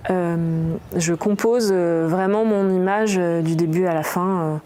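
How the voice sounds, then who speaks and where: A woman artist photographer explaining her work in an interview, measured and searching for words. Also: lowish voice, fairly even intonation, warm tone, slight background noise.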